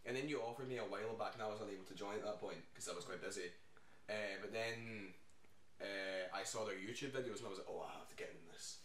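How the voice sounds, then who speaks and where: Young man, Scottish accent, lowish voice, casual, echoey room, faint hiss. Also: fast, fairly bright tone. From Scotland. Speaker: band singer. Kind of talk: casual band Q&A chat. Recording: echoey room, mic far off, faint noise.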